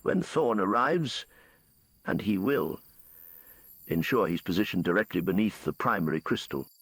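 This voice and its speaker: A man's voice: low-pitched, lively intonation, moderate pace, fairly bright tone, slightly rough, no echo, full character voice. A man voicing an imperious, scheming woman who leads a cult, with a cold, commanding, theatrical manner in a fantasy bedtime story. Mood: coolly scheming.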